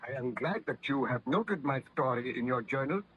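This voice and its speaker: An actor playing a haunted, guilt-ridden baron scientist in a formal, earnest, melodramatic old radio horror style. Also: male voice, medium pitch, lively intonation, normal speed, a bit bright, husky. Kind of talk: 1930s radio horror acting. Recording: muffled, noisy old radio recording.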